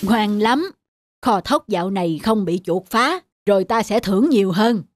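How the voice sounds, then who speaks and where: A woman's voice: mid-range voice, animated, moderate pace, dry recording, lightly acted. A woman voicing a cat's owner in a children's cartoon, approving and matter-of-fact. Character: cat's owner, master of a rice granary, approving.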